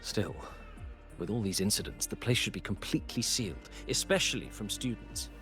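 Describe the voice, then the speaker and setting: A man playing an absent-minded, excitable engineering professor in a video game, hesitant, rambling and earnestly polite. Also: fairly low pitch, moderate pace. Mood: measured, serious. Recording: game music behind the voice.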